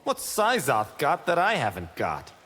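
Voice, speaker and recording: A man's voice: a little high, huge pitch swings, unhurried. An actor playing a proud young male Shaolin warrior in a video game, brash and boastful, with long reverb. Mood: cocky, playful. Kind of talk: video game character dialogue. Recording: long reverb, faint noise.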